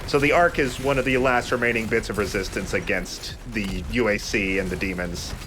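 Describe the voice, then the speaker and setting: A man with a fairly low voice gives casual gameplay commentary as a co-host. He explains things informally and jokes along. Soft game music sits behind him. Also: fairly even intonation, moderate pace. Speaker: let's play co-host.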